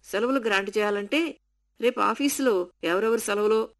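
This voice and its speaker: A man's voice: high-pitched, normal speed, a bit bright. A woman reading the part of a strict, curt male office superior. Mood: stern. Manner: curt, serious.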